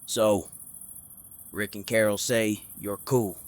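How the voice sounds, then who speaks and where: A man's voice: on the low side, level tone, slow. A man playing a terse, guarded survivor in an audio drama. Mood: guarded, probing.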